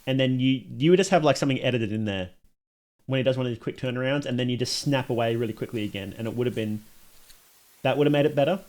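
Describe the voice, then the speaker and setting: A man's voice: mid-range voice, quick pace, a little mellow. A man hosting a reaction livestream, talking informally to his chat as he thinks aloud and judges, with some mild swearing. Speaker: livestream host reacting to and judging short films. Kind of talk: casual livestream commentary to chat.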